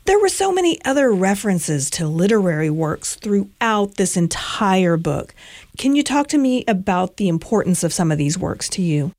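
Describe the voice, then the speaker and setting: Female interviewer, animated delivery, dry close sound. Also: medium pitch, moderate pace, no echo. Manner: engaged, inquisitive. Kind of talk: interview questions.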